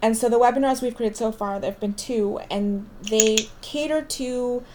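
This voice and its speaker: A woman's voice: fairly high pitch, moderate pace, warm tone, a little hiss or hum. A woman hosting an interview, asking long, rambling questions. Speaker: interviewer, host.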